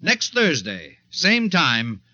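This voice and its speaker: Higher-pitched, lively male vintage radio announcer. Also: slow pace, dry recording. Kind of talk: scripted 1940s radio announcing. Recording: dull 1940s radio recording.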